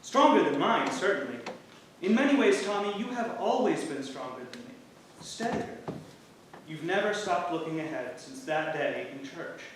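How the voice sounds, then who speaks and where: Actor as a high-voiced devoted husband, echoing stage. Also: male voice, expressive, moderate pace, natural voice. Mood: fond, nostalgic. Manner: earnest, tender, theatrical stage delivery. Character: devoted husband, gently playful, in early modern England. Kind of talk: staged play reading before an audience. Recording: roomy theatre, distant mic, faint noise.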